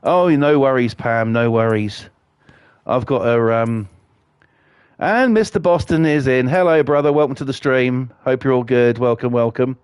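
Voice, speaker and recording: A mid-pitched man with a cold chats away while he streams, rambling and full of fillers. He swears casually as he talks. The sound is close and dry. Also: unhurried, darkish tone, clean tone, no room sound. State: has a cold. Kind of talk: casual game stream chat.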